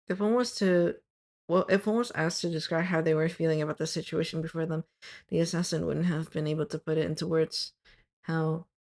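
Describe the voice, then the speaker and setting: Low-voiced woman reading aloud, a bit hoarse. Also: a little mellow. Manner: stops often to correct her wording, laughs at slips. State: losing her voice. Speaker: streamer. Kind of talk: reading her own fiction aloud on a live stream.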